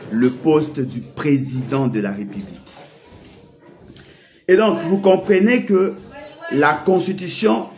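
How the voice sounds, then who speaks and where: A man's voice: a little high, a little mellow. A man giving explanatory political and legal commentary in an emphatic, didactic way, repeating key points.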